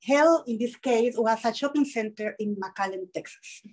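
A middle-aged woman from Mexico with a Mexican accent, a Spanish speaker giving a lecture in English from a prepared text, reading quotations slowly and carefully. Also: a little high, darkish tone. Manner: measured, reads quotations slowly. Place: seminar room with projection screen.

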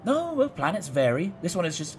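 A man playing an eccentric, quick-witted and evasive centuries-old time traveller in an audio drama, chatty and hesitant, given to exclamations. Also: high-pitched, huge pitch swings. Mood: breezy, wry. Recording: clear background noise.

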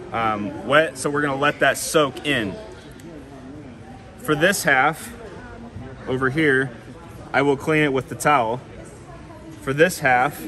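A young man giving a casual hands-on product demonstration, explaining plainly step by step. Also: medium pitch. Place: large carpeted indoor venue. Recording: busy public room with background chatter. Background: crowd murmur.

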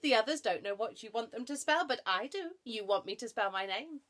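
A woman voicing an excitable, cocky little monkey in a storybook, eager and emphatic. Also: fairly high pitch, brisk, pitched above the performer's own voice. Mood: cocky excitement.

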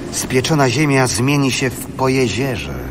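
A man's voice: medium pitch, expressive, unhurried, background noise. A man giving a solemn, dramatic narration of scripture for an audio production.

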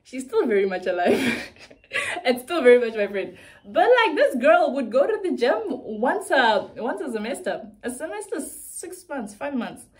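A young woman from South Africa giving casual, chatty advice to viewers in a playful, animated way. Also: high voice, a little slow. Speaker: fitness vlogger.

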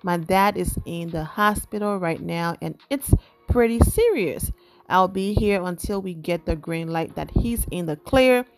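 A woman with a Liberian accent runs a celebrity gossip vlog, chatting to her audience and tagging her points with a quick "okay?". Her voice sits a little low for a woman. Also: lively intonation, soft background music. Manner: chatty, emphatic asides with "okay?". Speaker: entertainment news vlogger. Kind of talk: casual gossip commentary.

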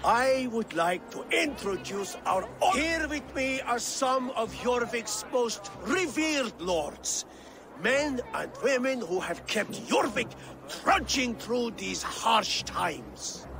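An actor playing a pompous, scheming middle-aged Norse lord in a video game, with theatrical, grandiose delivery. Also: male voice, extremely high pitch, big pitch swings, slow, vocal fry, noisy background, heavily acted. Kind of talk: video game cutscene acting.

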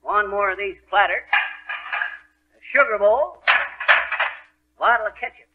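A man's voice: high-pitched, a little slow. A man playing a boastful, folksy American husband full of tall tales in a 1930s radio comedy. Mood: cocky, folksy. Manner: folksy, bragging, alliterative patter. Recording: dull, noisy 1930s radio recording.

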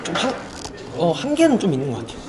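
A young man in his twenties with a Busan accent answers quickly and earnestly, polite and a bit hesitant. A crowd murmurs in the background. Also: high-pitched, wildly swooping pitch. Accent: Busan Korean. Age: 20s. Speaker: interviewee, aspiring actor. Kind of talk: casual interview answers.

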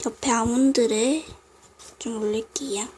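A woman's voice: on the high side, fairly even intonation, a little slow, darkish tone. A woman with a cold casually narrating a craft tutorial, apologetic and muttering self-critical asides. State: voice affected by a cold. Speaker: craft video host. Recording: noisy home recording with clicks.

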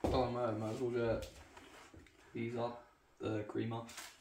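A man's voice: medium pitch, completely flat delivery, measured pace, background noise. A young man chatting casually in a home kitchen, teasing in a dry way as he tastes food, recorded by a camera across the room. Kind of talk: casual chat while tasting food. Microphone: far camera. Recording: echoey kitchen with loud clattering.

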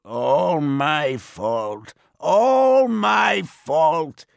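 Male narrator as a tiny rhyming brownie: high, gravelly, slow and swooping. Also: lively intonation, dry recording, clean studio sound, exaggerated voice. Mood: distraught. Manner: speaks in slow, singsong rhymes. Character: small, grieving male house brownie. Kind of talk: audiobook character voice.

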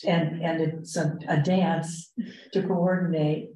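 A woman with a low, mellow voice leads a committee meeting. She explains each point in a measured, halting way. She is picked up from a distance in an echoey room. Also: level tone. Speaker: arts commission member leading the meeting. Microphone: distant room microphone.

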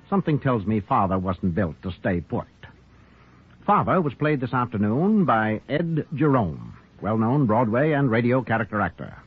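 Male vintage radio drama host, wry, theatrical, husky, animated scripted delivery. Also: mid-range voice. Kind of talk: 1940s radio announcing, scripted. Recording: narrow-band vintage radio recording.

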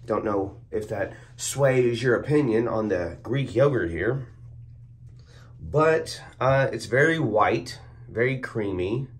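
A young man talks unhurriedly, his voice hoarse and half gone. He chuckles at his own jokes in a laid-back way. He is recorded close on a phone camera in a small room at home. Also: on the low side. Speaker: soap review vlogger. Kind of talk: casual product review. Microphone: phone or webcam at arm's length.

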